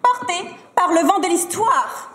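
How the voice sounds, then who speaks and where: Girl doing a museum guide welcoming folks, super high voice, slow and grand, echoey. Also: animated, a bit bright, heavily acted. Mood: announcing. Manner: slow, announcing. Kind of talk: amateur stage acting. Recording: echoey hall, distant mic.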